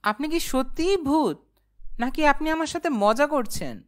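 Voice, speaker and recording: A woman's voice: high-pitched, sing-song, normal speed. A woman voicing a timid, naive, polite young man who tells his own cartoon story in a meek, earnest way. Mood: nervous. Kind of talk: first-person cartoon storytelling and dialogue.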